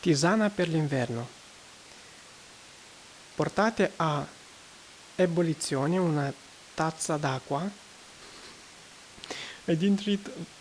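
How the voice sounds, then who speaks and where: Slow, low-voiced woman testing microphones. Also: gravelly, slight background noise, rough recording. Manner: hesitant, with many fillers and self-corrections; reads aloud haltingly from a book. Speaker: gear reviewer testing microphones. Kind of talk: casual mic test vlog. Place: indoor room. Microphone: various test microphones, condenser among them.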